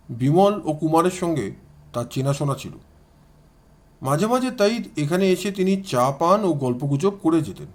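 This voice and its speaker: A man narrates an audio story. His voice sits fairly high, and he reads as a storyteller hosting the tale. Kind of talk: dramatised scripted storytelling.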